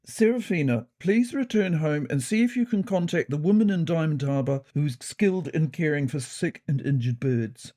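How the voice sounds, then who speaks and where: Storybook deputy cat played by a narrator: composed older brother, orderly, firm, mellow, taking charge. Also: mid-range voice, animated, close to an everyday voice. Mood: commanding, composed. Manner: measured, authoritative, issuing orders. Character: composed, commanding big-brother cat, a crisp organiser. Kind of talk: audio storybook character voice.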